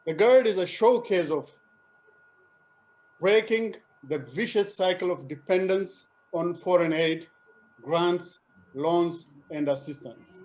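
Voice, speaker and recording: Higher-pitched Ethiopian man, deliberate and emphatic. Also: a little mellow, rough recording. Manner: deliberate, emphatic short phrases. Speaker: interview guest, advocacy group member. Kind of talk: formal prepared interview answers.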